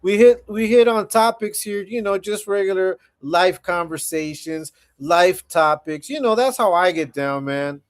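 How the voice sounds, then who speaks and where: High-voiced laid-back man in his forties. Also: a little slow, hardly any pauses. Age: 40s. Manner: laid-back, greets chat viewers, repeats phrases. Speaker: livestream host. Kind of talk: casual livestream chat.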